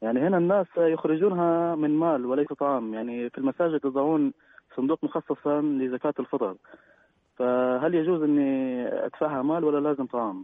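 A man calling in by phone, asking a string of questions politely and hesitantly, with many pauses and fillers. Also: on the high side. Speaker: caller. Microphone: telephone. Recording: dry tinny phone line.

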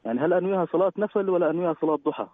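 A man calling in by phone, asking a string of questions politely and hesitantly, with many pauses and fillers. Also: high voice, no room sound. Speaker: caller. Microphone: telephone. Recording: dry tinny phone line.

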